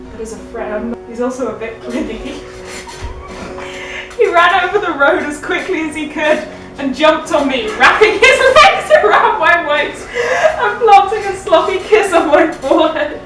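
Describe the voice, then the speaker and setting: A tipsy woman reading a story aloud in a theatrical, playfully hammy, giggly way. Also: high voice. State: drunk. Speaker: reader of fan fiction for a comedy web show. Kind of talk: jokey dramatic story reading. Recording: echoey room, distant mic, music underneath.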